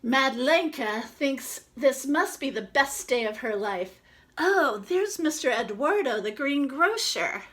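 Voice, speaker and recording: A woman's voice: mid-range voice, a bit bright. A woman reading a picture book aloud expressively, with informal asides as she points out the illustrations.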